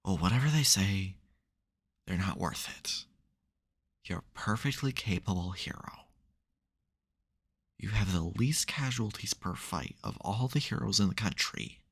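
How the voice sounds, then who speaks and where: Guy acting as a super low voiced villain nemesis, crackly fry, wry and secretly caring, asmr roleplay. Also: animated, unhurried, pauses often, fairly bright tone, heavily acted. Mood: uneasy, concerned. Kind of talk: intimate ASMR audio roleplay.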